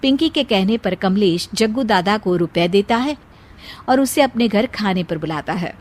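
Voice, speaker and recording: A woman's voice: medium pitch, lively intonation, moderate pace, a little mellow. A woman narrator reading a scripted story.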